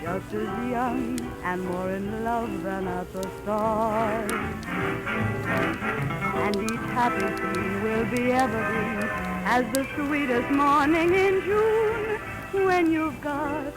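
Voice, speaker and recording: A woman radio singer with a high voice, drawing out the words very slowly over loud accompaniment. The style is 1930s popular song, on an old broadcast recording. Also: loud music behind the voice, rough recording. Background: loud musical accompaniment.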